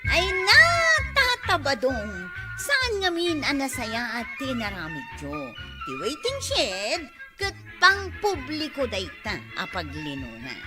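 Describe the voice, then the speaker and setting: A sharp-tongued village woman in an Ilocano radio comedy drama. Her high voice is very animated, and she nags and complains in drawn-out phrases. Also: over loud music, exaggerated voice. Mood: scolding.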